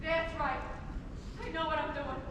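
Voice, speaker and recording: A woman's voice: high-pitched, steady tone, unhurried. A woman on stage playing a bitter, volatile alcoholic wife and mother with an accusing, dramatic delivery. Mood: agitated, brooding. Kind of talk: theatrical stage acting. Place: school theatre stage. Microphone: distant room microphone. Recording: echoey hall, distant mic, noisy.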